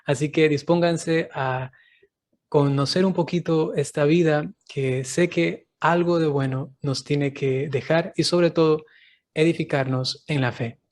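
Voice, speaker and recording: A man who is a priest hosts this interview over a video call, speaking in a fairly high voice. He greets his audience and laughs often along with his guest. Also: fairly even intonation, a little slow, darkish tone. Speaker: priest and channel host. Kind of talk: interview host.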